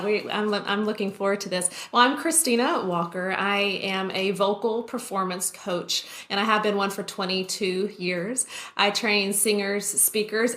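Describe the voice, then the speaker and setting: Chatty woman in an echoey room. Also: medium pitch. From Taiwan. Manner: warm, chatty, laughs often, many restarts. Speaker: vocal coach, podcast guest. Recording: roomy echo.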